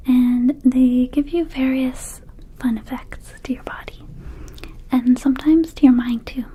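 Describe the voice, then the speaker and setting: Lady doing a scatterbrained vampire shop girl, asmr roleplay, high mellow whisper, casual and rambly. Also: slight background noise, poor audio. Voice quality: soft, breathy whisper. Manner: hesitant, rambling, slow and casual. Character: friendly scatterbrained young female vampire herb dispensary clerk. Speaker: ASMR performer.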